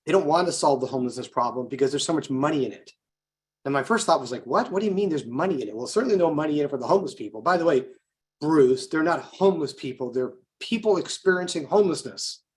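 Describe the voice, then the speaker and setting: A man's voice: a little high, expressive, quick pace. An American man co-hosting a conversational political discussion, telling anecdotes, posing rhetorical questions and acting out bits of dialogue. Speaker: co-host, political activist.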